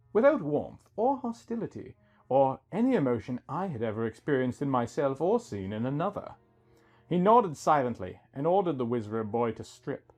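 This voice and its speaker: A man's voice: mid-range voice, slightly rough. A man reading a scripted first-person story as its narrator, with music behind him.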